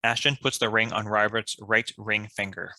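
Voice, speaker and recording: A man's voice: lowish voice, little pitch movement, moderate pace, bright, forceful tone, low-quality recording. A man reading stage directions as scripted narration for a table read.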